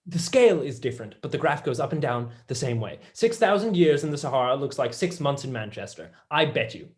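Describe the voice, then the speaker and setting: A young man playing a passionate, blunt, excitable mathematician in a staged play reading. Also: mid-range voice, fairly quick, close to an everyday voice. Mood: wry. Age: young adult.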